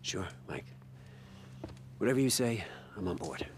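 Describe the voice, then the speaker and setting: A man's voice: on the low side, very animated, measured pace, slight background noise. An actor playing a hostile, sneering man in a survivor camp in a video game, combative and contemptuous. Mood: sullen.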